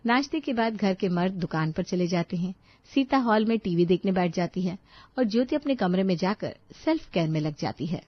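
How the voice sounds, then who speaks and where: A woman's voice: mid-range voice, animated, brisk, dry recording, lo-fi sound. Woman narrating a story, very dry. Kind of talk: scripted bedtime story narration.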